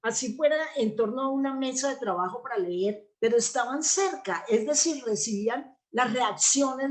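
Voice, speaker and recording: An older woman with a Colombian accent, talking reflectively in an interview, explaining things and often checking for agreement with short tag questions. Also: fairly high pitch. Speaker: interview guest, theatre actress and playwright.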